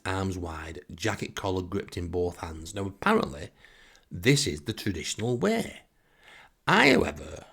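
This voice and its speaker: A man's voice: fairly low pitch, vocal fry, slightly rough. A man with a Northern English accent telling a humorous first-person anecdote in a wry, self-deprecating way. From Northern England. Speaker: comic storyteller.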